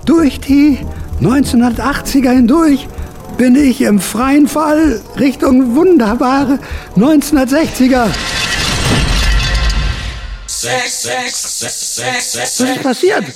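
An actor playing a manic, defiant dreamer who rambles theatrically in a German radio drama. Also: male voice, very high-pitched, slow, pauses often, music in the background, full character voice. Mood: manic, agitated. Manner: theatrical, exclamatory.

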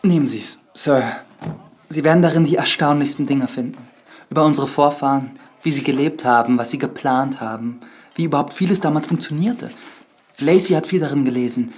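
A man's voice: on the high side, a little fast, natural voice. A young man acting an earnest, polite priest turned investigator in a German radio drama, courteous and explanatory. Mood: earnest, intent. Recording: studio radio drama with music underneath.

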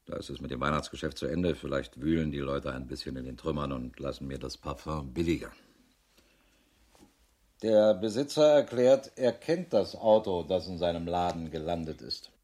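An actor playing a businesslike male police investigator in a radio crime drama, reporting in a matter-of-fact way with a husky voice. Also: a bass voice, expressive, normal speed, dark tone. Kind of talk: German radio drama acting.

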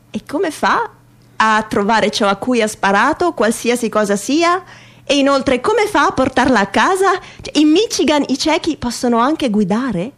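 A woman performing a theatrical reading of a humorous first-person essay in a wry, mock-earnest and expressive manner. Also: fairly high pitch.

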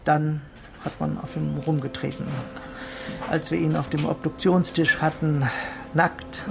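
An actor playing a flippant junior detective with a blunt, dryly mocking manner in a radio crime drama. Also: male voice, on the high side, level tone, measured pace, vocal fry. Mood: blunt, grim.

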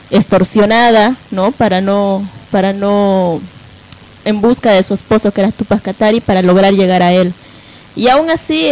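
A woman presenting on a live radio talk show, halting and often adding tag questions to seek agreement. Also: mid-range voice. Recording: noisy live radio with steady hiss.

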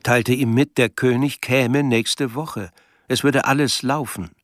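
A male actor is an observant, wry narrator telling a literary story in a radio play. His voice has a medium pitch and is recorded close and dry, without room sound. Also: fairly even intonation, unhurried, no room sound, natural voice.

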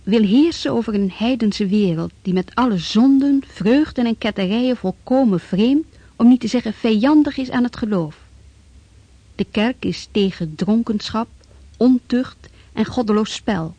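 Female narrator, higher voice, mellow tone, crisp, dry recording. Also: normal speed, no echo. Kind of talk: scripted documentary narration.

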